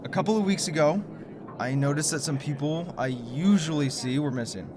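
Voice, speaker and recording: A man voices a worn-out conspiracy theorist who hasn't slept in days. He stumbles over his words and laughs nervously, yet holds firm to his principles, with a steady background hiss. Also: fairly high pitch, moderate pace, lightly acted. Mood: explaining. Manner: jittery, stammering, nervous laughs. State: exhausted from lack of sleep. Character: sleepless male conspiracy theorist, stubborn, principled. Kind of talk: audio drama acting.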